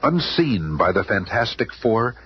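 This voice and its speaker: A man's voice: lowish voice, sing-song, unhurried. A man playing a grandiose, tongue-in-cheek comic-book narrator in a scripted radio serial. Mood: dramatic. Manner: theatrical, grandiose. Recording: dull old radio recording, loud music.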